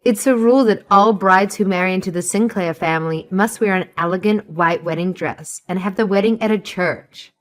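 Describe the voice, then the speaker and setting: A woman's voice: medium pitch, moderate pace, hardly any pauses, faint music behind, close to an everyday voice. A woman playing a haughty, suspicious elderly mother-in-law, scornful and condescending, in a scripted audio story. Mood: disdainful. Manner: condescending, sharp, calls others sweetheart and darling.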